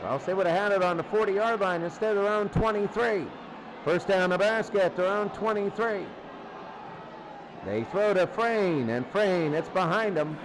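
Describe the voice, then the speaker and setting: High-voiced man calling football over noise. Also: normal speed. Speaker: play-by-play sports commentator. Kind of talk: American football radio-style play-by-play commentary.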